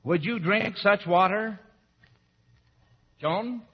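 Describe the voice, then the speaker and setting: A man's voice: high voice, drawn-out words, husky. A man hosting an old radio quiz in a formal, encouraging manner. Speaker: quiz show host. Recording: muffled old radio broadcast, hall echo, audience noise.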